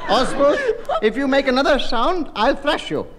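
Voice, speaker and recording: An actor playing a pompous, strict and exasperated schoolmaster in 1960s radio comedy style. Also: male voice, extremely high pitch, animated, normal speed, a loud crowd. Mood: irritated. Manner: pedantic, stern, exasperated. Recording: noisy old radio recording.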